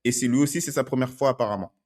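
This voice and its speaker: A man's voice: medium pitch, very fast, darkish tone. A man working as a dating coach, talking through video clips in a conversational way and often checking in with "ok?". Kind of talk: commenting on street-approach video clips.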